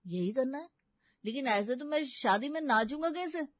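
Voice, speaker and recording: A man's voice: very high voice, animated, moderate pace, dry recording. Casting: man as pliable young cartoon groom, whiny and pleading, dance-mad; dry, slightly dull recording. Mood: doubtful. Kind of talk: animated Hindi comedy story dialogue. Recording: dull, lo-fi studio voice-over.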